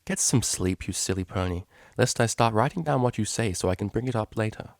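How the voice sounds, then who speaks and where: A prim young mare cellist from a storybook, acted out by a man, pitched above his natural voice. She is fussy and formal, easily exasperated, and speaks into a dry, close recording. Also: a little fast, no echo, natural voice. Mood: mildly irritated.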